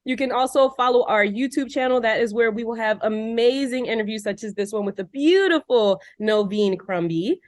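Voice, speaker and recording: A woman hosting a podcast interview over a video call, upbeat and quick to laugh. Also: on the high side, dry recording, studio quality. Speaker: podcast host. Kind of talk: casual interview chat.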